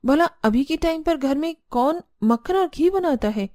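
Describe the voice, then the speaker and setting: High-pitched dismayed young bride played by a woman. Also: animated, normal speed, warm tone. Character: young new bride, dismayed by strict in-law household. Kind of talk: cartoon story dialogue.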